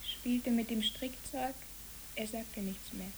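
Solemn, hushed, high-voiced child. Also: female voice, steady tone, slow, poor audio. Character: solemn, quiet child wanderer speaking in riddles. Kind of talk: poetic German radio drama acting. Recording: clear tape hiss.

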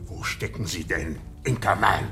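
A crude, gruff man in a radio play. He grumbles bluntly in a low, very creaky voice. Music sits clearly behind him. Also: moderate pace. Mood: irritated.

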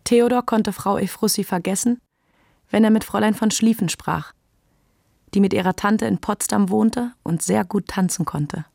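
A woman's voice: mid-range voice, moderate pace, gravelly, a little mellow, dry recording, studio quality. A woman reading scripted literary narration for a radio play.

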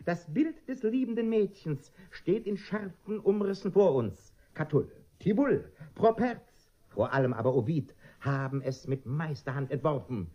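An actor playing a pompous, self-satisfied philosopher tutor in a comic radio play, lecturing in an oily, pedantic manner. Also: male voice, on the high side, very animated, a little slow, hardly any pauses, warm tone. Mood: triumphant, pedantic. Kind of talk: German radio play comedy acting. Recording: muffled radio drama recording.

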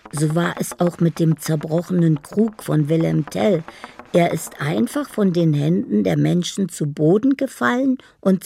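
A mellow, low and creaky voice of a wounded, dreamy older woman. She delivers a wistful, poetic radio drama monologue at a slow, deliberate pace. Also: slight background noise, full character voice.

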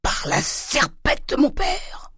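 A woman's voice: low voice, sing-song, moderate pace, warm tone, slightly rough, dry recording, clean studio sound, full character voice. A woman voicing a greedy, explosive old French father in an audiobook, coaxing and exclaiming. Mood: outraged. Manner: coaxing, exclamatory, gold-obsessed. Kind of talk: audiobook character acting.

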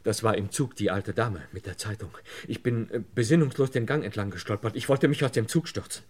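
An actor playing a desperate, broken man under police questioning in a radio crime play, halting and pleading. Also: lowish voice, a little mellow, husky. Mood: broken, despairing. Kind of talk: German radio crime play acting, 1950s to 80s.

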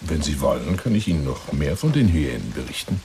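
Theatrical, wildly swooping obsessive hunter. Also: male voice, rumbling low, very animated, a little fast, creaky, background noise, full character voice. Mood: insinuating, coaxing. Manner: effusive, insinuating, swinging between whispers and exclamations. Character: eccentric, obsessive lion hunter wooing a woman by telephone. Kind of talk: theatrical radio play monologue.

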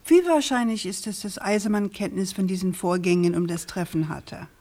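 An actress playing a persistent, composed investigative journalist in a radio crime drama, probing and articulate. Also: female voice, mid-range voice, normal speed, mellow, close to an everyday voice. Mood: insistent.

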